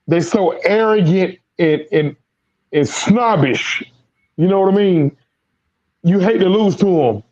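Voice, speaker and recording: A man's voice: high-pitched, a little mellow, lo-fi sound. A man who is losing his voice, hosting casual sports talk and rambling with lots of repeated words. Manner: rambling, repeats words, Southern American slang. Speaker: sports podcast host.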